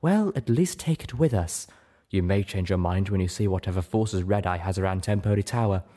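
A man's voice: low voice, lively intonation, fairly quick, dark tone. A man voicing a refined, composed female unicorn with polite, proper diction in an audiobook. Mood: concerned reproof. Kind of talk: audiobook character voice.

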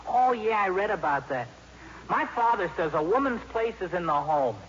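A man's voice: sits high, animated, normal speed, a bit bright. An actor playing a polite, eager, awkward teenage boy in a 1950s radio comedy. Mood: polite, curious. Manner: awkward, naive, gee-whiz politeness. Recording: dull, noisy vintage radio broadcast.

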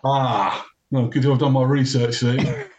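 A man's voice: medium pitch, level tone, measured pace, highs cut off, background noise. A man hosts an interview in a chatty, self-deprecating way, filling gaps with "uh" and "um". His mid-range voice rings in an echoey room. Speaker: podcast host. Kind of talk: interviewing a guest.

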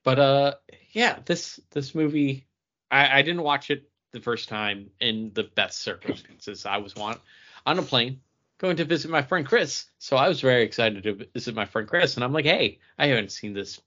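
A man co-hosts a podcast in a loose, conversational style. His pitch moves around a lot as he acts out reactions, and the recording is a little dull and lo-fi. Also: sharp tone. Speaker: podcast co-host. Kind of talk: casual chat with personal anecdotes.

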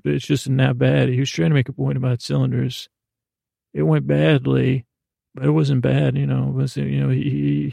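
Gravelly, creaky-voiced man rambling softly at bedtime. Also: mid-range voice, dry recording, clean studio sound. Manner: rambling, halting, self-interrupting with trailing tangents. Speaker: podcast host. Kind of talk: meandering bedtime podcast monologue.

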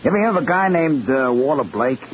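A man acts a slick, pushy American small-time crook in a vintage radio play. His mid-pitched voice moves up and down a lot, through a narrow, faintly noisy recording. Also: lively intonation, a little fast, natural voice. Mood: smug, coaxing. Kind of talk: 1950s radio drama acting. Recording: tinny, hissy old radio recording.

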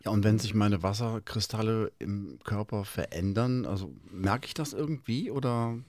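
A man's voice: fairly low pitch, moderate pace, a little mellow, dry recording. A man co-hosting a podcast interview who asks questions and makes jokes. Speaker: podcast co-host. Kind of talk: casual interview.

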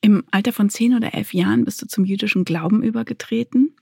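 A woman's voice: medium pitch, fast, gravelly, darkish tone, breathy edge, no echo, studio quality. A woman hosting a podcast, reading prepared introductions and putting questions to a guest. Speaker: podcast host.

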